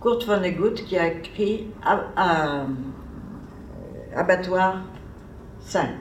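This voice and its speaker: A woman's voice: fairly low pitch, slow pace, slight background noise. A woman giving an informal academic seminar talk, digressive and conversational, as if thinking aloud. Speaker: seminar speaker. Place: seminar room. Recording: echoey room, mic far off.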